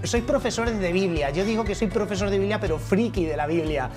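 A man's voice: high voice, a little fast, music in the background. A man in his thirties with a Castilian Spanish accent, enthusiastically and conversationally presenting a religious TV programme from a studio. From La Mancha, Spain. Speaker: host, priest and Bible teacher. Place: dark TV studio at a table. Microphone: studio microphone on a stand.

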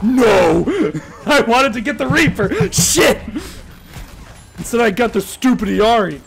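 A young man bantering casually with friends while gaming, excitable and quick to swear. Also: extremely high pitch, vocal fry. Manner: excitable, foul-mouthed. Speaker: gamer streaming with friends.

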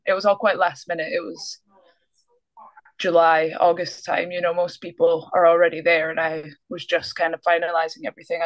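A woman's voice: fairly low pitch, rough recording. A young Scottish woman talking casually as a guest on a video call, easygoing and often trailing off with a laugh. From Scotland. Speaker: guest basketball player. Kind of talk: casual interview. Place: small room indoors.

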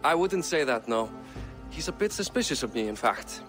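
A wry Viking chieftain. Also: male voice, medium pitch, big pitch swings, moderate pace, fairly bright tone, faint music behind. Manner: wry, easygoing. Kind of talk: video game cutscene acting.

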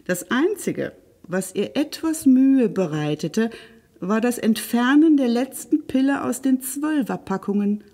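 A woman hosting and reading stories for children, expressive and playful, speaking directly to the listener. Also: on the high side, a little slow. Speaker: library storyteller and host.

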